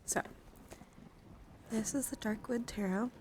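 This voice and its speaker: A woman hosting an ASMR livestream, chatting in soft whispers and hushed talk, with slow, repetitive phrasing. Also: on the high side. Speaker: livestream host. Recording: clear background noise on livestream.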